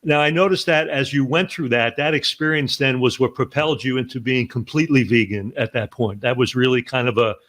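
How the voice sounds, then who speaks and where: A male podcast host asks long, earnest questions that keep circling back and restarting. His voice sits at an ordinary middle pitch, and he sounds sincere and intent. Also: a little fast, hardly any pauses, a bit bright. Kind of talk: interview questions.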